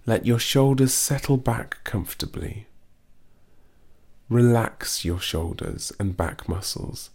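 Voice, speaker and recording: A man reading a guided muscle relaxation script in a slow, soothing, gently instructive way. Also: mid-range voice, animated, vocal fry, breathy edge.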